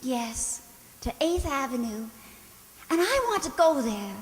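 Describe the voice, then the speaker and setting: A woman's voice: sits high, lively intonation, a little slow. A woman acting a dreamy, lovestruck young woman in a radio drama, sweet, earnest and dramatic, yearning to escape. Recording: long hall reverb.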